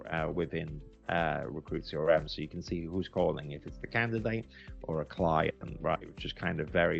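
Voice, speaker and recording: A British man with a deep, gravelly voice talks through his experience in a casual, unscripted way. He explains conversationally, doubling up words for emphasis. Faint music plays behind him. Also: lo-fi sound. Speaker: recruitment agency founder giving a software review.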